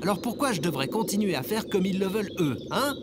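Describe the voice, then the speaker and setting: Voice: mid-range voice, animated, fast talker, natural voice. An actor in a French comedy audio drama playing a whiny, defiant, slangy last man left on Earth. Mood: defiant, fed up. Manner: exasperated, slangy, grandiose. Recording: very noisy background of ambient effects.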